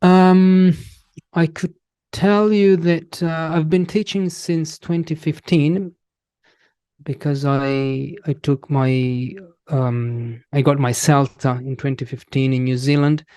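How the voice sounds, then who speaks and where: A man's voice: on the high side, fairly even intonation, dark tone, smooth, no room sound. A man in his thirties from Italy with an Italian accent, an English teacher talking in a casual interview and often restarting his sentences. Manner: slow, halting, many restarts and fillers.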